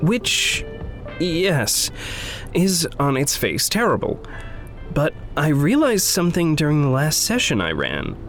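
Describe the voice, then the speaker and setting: An earnest, stubborn idealist in a naturalistic audio drama. His voice sits fairly high and moves in lively swings, with careful formal wording and emphatic halts, over faint music. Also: full character voice. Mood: earnest, hopeful. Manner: precise, formal wording, halting emphatic phrasing. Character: earnest, stubborn idealistic man going undercover at a company.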